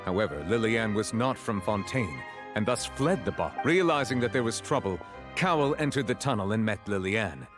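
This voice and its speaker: An actor playing a formal, authoritative chief justice in a video game, speaking precisely and courteously. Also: male voice, lowish voice, big pitch swings, brisk, over music. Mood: solemn, explanatory.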